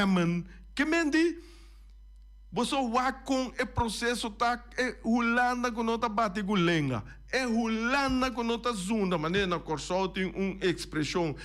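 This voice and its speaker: A man with a high voice talks slowly and deliberately as a radio host. He drives his points home with repetition, running through lists item by item. The sound is a close studio microphone. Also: a bit bright. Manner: emphatic, repeats and lists points. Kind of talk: radio talk commentary.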